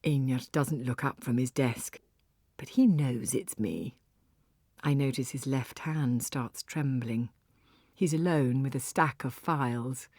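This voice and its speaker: Woman with a low voice reading an audiobook story, wry and kinda theatrical. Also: slow pace, darkish tone, dry recording, studio quality. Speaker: audiobook narrator. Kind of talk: dramatic first-person story narration.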